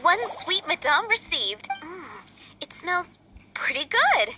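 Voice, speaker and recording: A woman voicing an earnest, polite young waitress and cook in a video game, with expressive delivery. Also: very high-pitched, slow, a little hiss or hum, exaggerated voice. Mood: friendly. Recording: game music behind voice.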